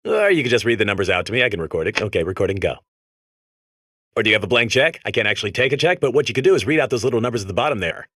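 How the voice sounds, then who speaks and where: Animated, smarmy salesman robot. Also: lowish voice, racing, no echo, clean studio sound. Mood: slick, coaxing. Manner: smarmy, over-familiar, persuasive. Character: slick, pushy male scam salesman robot. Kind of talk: comedic sales pitch acting in a video game.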